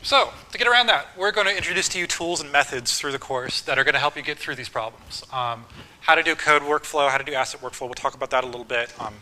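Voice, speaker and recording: A man teaching a university course, talking casually with frequent ums and quick lists. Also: on the high side, sharp tone, rough recording. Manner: casual, list-making, filler ums. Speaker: course instructor. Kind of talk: university lecture.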